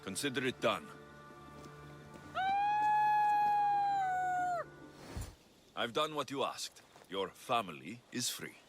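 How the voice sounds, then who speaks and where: A man's voice: medium pitch, very animated, moderate pace, over loud music, natural voice. A man playing a wry, skeptical mercenary warrior in a video game, with a dry, sardonic delivery. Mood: dutiful. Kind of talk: dramatic video game acting.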